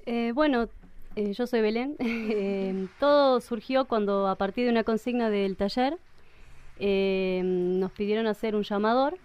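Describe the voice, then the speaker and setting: A woman with an Argentine accent, explaining things casually and haltingly as a guest in a radio studio. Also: medium pitch, darkish tone, smooth, muffled recording. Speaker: radio play writer and guest. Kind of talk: casual interview chat.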